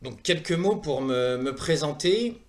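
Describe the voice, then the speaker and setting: A man in his thirties with an accent from France gives a semi-prepared presentation in an earnest, hesitant way, often pausing and repeating words. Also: on the high side, lively intonation. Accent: French from France. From France, Saumur in Maine-et-Loire. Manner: earnest, hesitant, with frequent pauses and word repetitions. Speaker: presenter of a wellness training programme.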